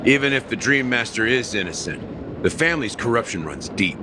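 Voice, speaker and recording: A man playing a laid-back, knowing man in a game cutscene, speaking in a relaxed, wry way. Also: medium pitch, a little fast, background noise, close to an everyday voice. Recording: game score playing loudly underneath.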